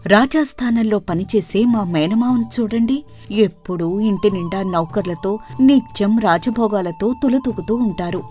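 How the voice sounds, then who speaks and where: అసంతృప్తితో సణిగే పల్లెటూరి భార్య పాత్రలో మహిళ. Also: female voice, mid-range voice, measured pace, warm tone, natural voice. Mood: complaining. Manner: complaining, questioning. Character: discontented, nagging village wife longing for riches. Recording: music under the voice.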